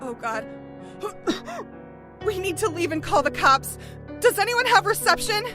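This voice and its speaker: Shaken, urgent young woman played by an actress, extremely high bright voice, frightened, horror audio drama. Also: sing-song, unhurried, soft background music, exaggerated voice. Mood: distraught. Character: frightened, distraught young woman urging escape.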